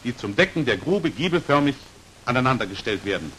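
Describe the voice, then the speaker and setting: A man's voice: mid-range voice, very animated, a little fast, fairly bright tone, low-quality recording. Hissy 1940s training film narrator, man. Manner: precise, formal, instructional delivery. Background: hiss.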